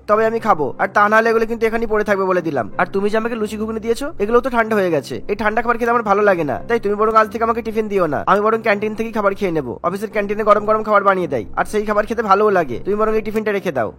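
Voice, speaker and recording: An actor playing an irritated, bossy young husband in a cartoon, firm and insistent. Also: male voice, high-pitched, rapid-fire, hardly any pauses, fairly bright tone. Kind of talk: Bengali cartoon voice acting. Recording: music behind the voice.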